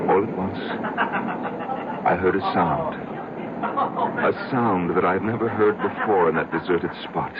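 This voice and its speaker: An actor playing a bitter, obsessed recluse confessing in a brooding, halting, dramatic way in an old-time radio drama. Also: male voice, low-pitched, very noisy. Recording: dull old radio broadcast, loud music behind.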